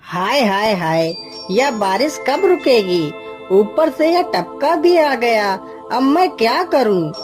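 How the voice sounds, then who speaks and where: A woman's voice: a little high, expressive, takes it slowly, music in the background. A woman voicing a timid village farmer man in a cartoon, with a fretful, wailing manner. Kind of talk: Hindi cartoon story dialogue.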